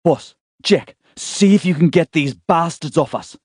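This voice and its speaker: A man's voice: a little high, unhurried, darkish tone, breathy edge, no room sound, clean studio sound, pitched above the performer's own voice. A man voicing an urgent, tough woman in an audiobook, with a raised, pressing delivery. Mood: urgent, alarmed. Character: Dee, urgent tough woman driving from monsters. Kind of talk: audiobook character dialogue.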